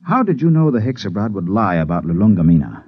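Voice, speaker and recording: A man's voice: on the low side, very animated, fast talker, husky, no echo. An actor playing an earnest, questioning spaceman in a 1950s radio drama, a man's voice. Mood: puzzled, earnest. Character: earnest, puzzled spaceman narrating his own adventure. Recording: muffled old radio recording, faint music.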